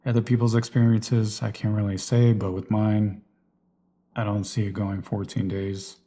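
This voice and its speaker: A man's voice: lowish voice, flat delivery, normal speed, warm tone. A man giving a casual, unscripted walkthrough of his gear in a plain, explanatory way, like a vlogger. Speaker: vlogger presenting personal radio gear.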